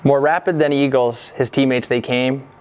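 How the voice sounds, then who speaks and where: A man reading a scripted rhyming holiday poem in measured storytelling phrases. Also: medium pitch, steady tone, a little mellow, smooth. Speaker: reader of a Christmas poem parody. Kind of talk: scripted verse narration.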